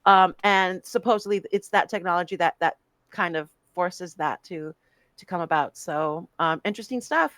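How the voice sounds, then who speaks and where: A woman's voice: lowish voice, moderate pace, a bit bright, dry recording. A woman co-hosting a casual film podcast, talking animatedly with frequent laughs and many restarts. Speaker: podcast co-host. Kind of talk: casual podcast chat about films.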